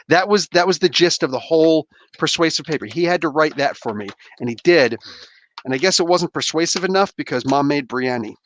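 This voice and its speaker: A man's voice: fairly high pitch, expressive, a little fast. A man in his thirties from New Jersey, hosting a casual livestream, rambling and slangy as he reads chat and opens toys. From New Jersey, USA. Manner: laid-back, rambling, laughs often, slangy. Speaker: livestream host.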